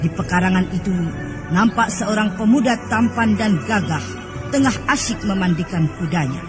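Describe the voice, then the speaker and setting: Male narrator, high register, dramatic storytelling, loud music underneath. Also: moderate pace.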